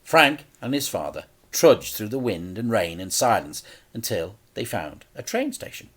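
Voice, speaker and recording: A man reading a children's story aloud in a deliberate, dramatic way with suspenseful pauses. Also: lowish voice, very animated, fairly bright tone, slightly rough.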